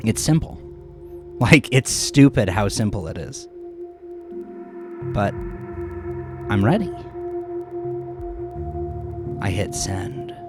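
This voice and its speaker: Male narrator, mid-range voice, first-person audio drama, conversational storytelling, dramatic pauses, music underneath. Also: mid-range voice. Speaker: narrator of his own horror story. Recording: music behind the voice. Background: background music.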